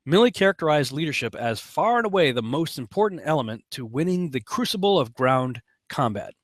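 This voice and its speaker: A man's voice: fairly high pitch, sing-song, a little fast, dry recording, clean studio sound. A man with an American accent giving informal commentary as host, sometimes reading aloud.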